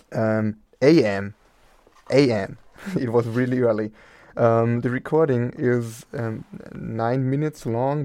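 A man's voice: medium pitch, creaky, darkish tone, dry recording. A young man hosts a radio show with a laid-back, rambling delivery, taking his time over each phrase. His voice sits in a middle register and sounds close and dry in the studio. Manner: loose, rambling, easygoing. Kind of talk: casual live radio chat. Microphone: studio mic, wearing headphones.

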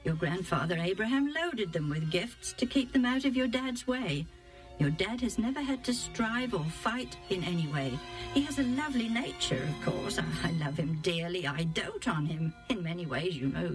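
A woman storyteller acts out a middle-aged mother in a storybook tale. She is fond, coaxing and quietly scheming, with emphatic delivery over faint music. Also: lowish voice, lively intonation, moderate pace, lightly acted. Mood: fond, doting. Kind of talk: acted Bible storybook dialogue.

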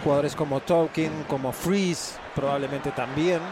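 The second man in a soccer broadcast booth, voice a little high, chatting through his analysis haltingly while the stadium roars loudly around him. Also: fairly even intonation. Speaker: soccer color commentator. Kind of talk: live analysis and background remarks. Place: open-air soccer stadium. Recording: loud stadium noise behind voice. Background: loud outdoor stadium ambience.

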